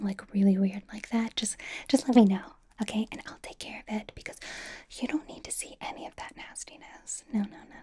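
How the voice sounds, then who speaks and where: Breathy, whispering big-sister K-pop idol played by a woman. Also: a little high, warm tone. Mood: protective, rambling. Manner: encouraging, rambling, playfully reassuring. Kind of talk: ASMR roleplay with personal attention.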